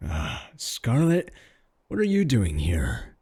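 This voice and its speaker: A man's voice: mid-range voice, wildly swooping pitch, takes it slowly, gravelly, warm tone, slightly rough, no room sound, studio quality, heavily acted. A man playing a cocky, doting werewolf in a comedic audio roleplay, mocking and boastful with a drawl. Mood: taunting. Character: cocky, doting male werewolf, taunting romantic rival.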